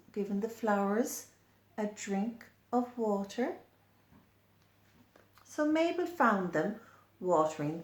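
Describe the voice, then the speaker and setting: Female children's storyteller, unhurried and animated, explanatory asides, roomy sound. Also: mid-range voice. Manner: slow, explanatory, pointing things out to young listeners. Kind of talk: expressive children's picture-book read-aloud with asides. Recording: echoey room.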